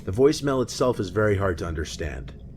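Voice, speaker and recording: A man reading a scripted scary-story narration in a measured, matter-of-fact way. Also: lowish voice, very animated, a little mellow, a little hiss or hum. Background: music bed.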